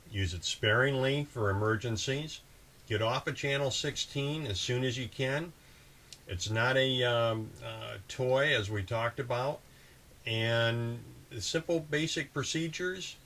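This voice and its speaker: A man hosting a boating video, explaining procedures in a casual, conversational instructional style. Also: mid-range voice. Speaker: host of a boating channel. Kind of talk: casual instructional talk with example radio calls. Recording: clear steady background ambient noise.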